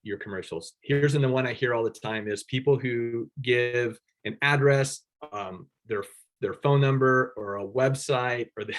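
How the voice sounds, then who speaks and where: A man with a Pittsburgh-area American accent gives a casual networking workshop. He talks conversationally in a middle pitch, often checking in with the audience and pausing on "um" and "uh.". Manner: conversational, checks in with "right?", frequent fillers. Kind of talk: casual instructional talk.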